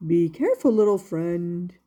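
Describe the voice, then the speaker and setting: Woman doing a gentle, low-pitched fatherly woodcarver. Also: sing-song, moderate pace. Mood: tender. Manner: gentle, reassuring. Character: kind, fatherly old male woodcarver.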